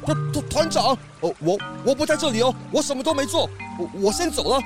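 A woman's voice: sits high, lively intonation, fairly quick, over music. A woman voicing a sulky, tearful young troupe member who stammers in a children's audio drama. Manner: pouting, stammering.

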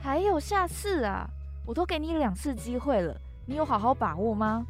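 A controlling mother, played by a woman, scolding and giving orders in a homemade audio drama. Her voice is on the high side, and light music runs underneath. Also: big pitch swings, normal speed. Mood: stern, threatening. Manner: stern, scolding, commanding. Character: strict, controlling, threatening mother of a schoolboy. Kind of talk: amateur audio drama acting.

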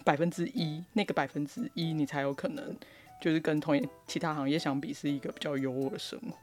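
A woman's voice: low-pitched, steady tone, fast, music in the background. A woman with a Taiwanese Mandarin accent chatting casually on a podcast in a wry, joking manner. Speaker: podcast host and author.

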